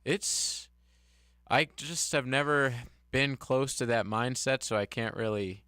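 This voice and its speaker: A man co-hosting a casual radio talk show, candid and quick to laugh. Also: medium pitch, pushed and bright, smooth, no echo, clean studio sound. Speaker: radio co-host. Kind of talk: casual sports radio chat. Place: radio studio. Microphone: studio microphone.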